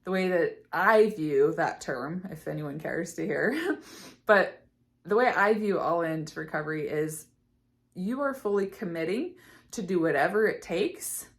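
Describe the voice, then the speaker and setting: Slightly hoarse woman coach, lowish voice. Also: lively intonation, a little slow. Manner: earnest, many fillers and restarts. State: slightly hoarse, losing her voice. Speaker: eating disorder recovery coach. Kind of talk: casual talk to camera.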